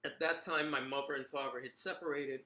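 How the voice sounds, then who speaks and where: A man's voice: mid-range voice, fairly even intonation, a little fast, bright, forceful tone, reverberant. A middle-aged man from the Bronx, telling memories in a casual monologue full of "you know" and "right?". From New York, Bronx. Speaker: commentator sharing memories.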